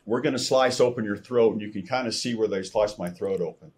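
Deep, husky older American man. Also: brisk, a little mellow. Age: older, around 60. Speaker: podcast guest. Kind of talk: personal testimony storytelling. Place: room at home, indoors. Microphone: video call, computer microphone at desk distance.

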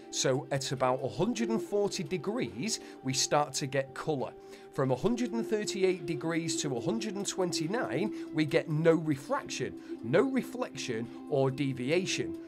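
A man reading a scripted explainer narration, with folksy, wonder-filled asides to listeners. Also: on the high side. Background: background music.

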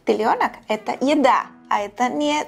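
Creaky-voiced young woman with foreign accent. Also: a little high, normal speed, soft background music. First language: not Russian. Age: young adult. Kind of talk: casual reaction chat. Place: small studio, seated at table. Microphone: lapel.

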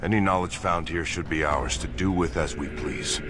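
A man playing an earnest, grave dwarven nobleman in a fantasy game, speaking in a solemn, formal, measured way. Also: sits low, close to an everyday voice. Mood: earnest, determined. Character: earnest, grave dwarven nobleman seeking his lost brother. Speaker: video game character narrating and in dialogue. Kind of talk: dramatic scripted game dialogue and narration. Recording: game audio under loud music.

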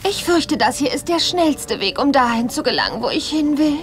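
A woman's voice: high-pitched, sing-song, moderate pace, background noise. A woman playing a gleefully cruel mermaid sorceress, theatrical and mock-polite, in a children's audio drama. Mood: haughty, scornful.

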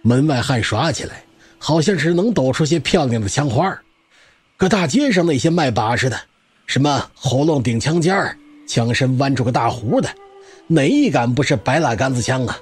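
Old male wanderer for audio drama: folksy, garrulous spear expert, rough-edged husky voice, lively swings. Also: mid-range voice, normal speed, dry recording. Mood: opinionated, proud.

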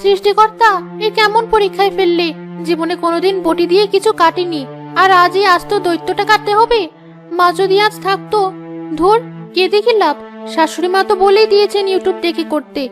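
A woman voicing female family characters in a scripted cartoon, with expressive, dramatic delivery. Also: very high-pitched, a little fast, music in the background. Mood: anxious, self-talking. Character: women of a family cartoon: fussy aunt, anxious young bride.

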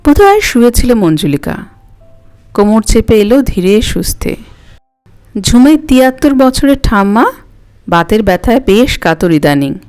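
A woman's voice: a little high, big pitch swings, moderate pace, pauses often, a little mellow, smooth. A woman narrating a story expressively as an audio story host, with music behind her.

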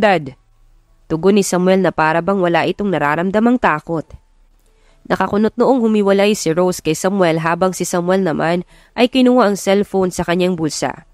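A woman's voice: on the low side, darkish tone, dry recording, studio quality. A woman reading a scripted romance story as a dramatic audiobook narrator.

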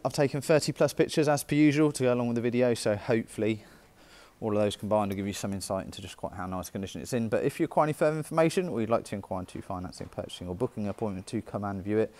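A man's voice: fairly low pitch, darkish tone, dry recording. A British man giving a chatty, informal walkaround sales presentation as a car dealer. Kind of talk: walkaround sales presentation, semi-casual commentary. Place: indoor car showroom.

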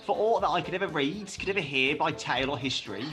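Actor playing ardent young Lysander, animated and bright. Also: male voice, on the high side, fast, soft background music. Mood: tender, lamenting. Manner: impassioned, theatrical verse delivery. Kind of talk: Shakespearean radio play acting.

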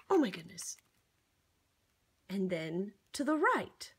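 A woman's voice: medium pitch, sing-song, takes it slowly, slight background noise. A woman reading a picture book aloud to young children, theatrical and encouraging, with exaggerated gasps and exclamations. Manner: encouraging, coaxing, addressing the child listener. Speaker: children's storybook reader.

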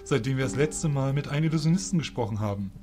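A man's voice: medium pitch, animated, fast talker, music in the background, lightly acted. A man playing a courteous but stern male fairy warrior with formal, archaic speech in a fantasy audio drama.

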